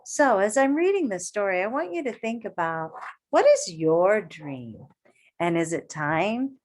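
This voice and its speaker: Female teacher, higher-pitched voice, very animated intonation, children's read-aloud, questioning asides to young listeners. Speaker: elementary school teacher reading aloud.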